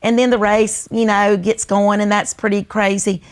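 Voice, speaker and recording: Older female with a Southern American accent for relaxed, folksy family storytelling in conversation. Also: medium pitch, normal speed. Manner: folksy storytelling, acts out remembered family dialogue. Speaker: host. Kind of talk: casual reminiscing conversation.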